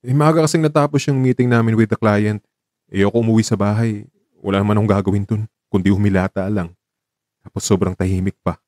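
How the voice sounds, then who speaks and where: A man playing a guarded young professional warming to his lover in a radio drama, talking in a casual, reticent way. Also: lowish voice, fairly even intonation, fast talker, no echo, studio quality, lightly acted. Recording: voice over background music.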